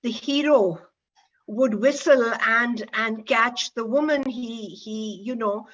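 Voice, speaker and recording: A woman with an Indian accent moderating a panel in an unscripted, halting way, repeating words as she goes. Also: on the high side, a bit bright, dull audio.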